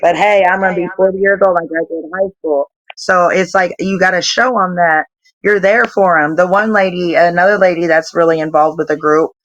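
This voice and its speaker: A woman's voice: lowish voice, steady tone, a little fast, fairly bright tone, lo-fi sound. A woman around forty, a nonprofit founder and interview guest, talking in a rambling way full of fillers. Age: 40s. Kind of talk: casual interview chat.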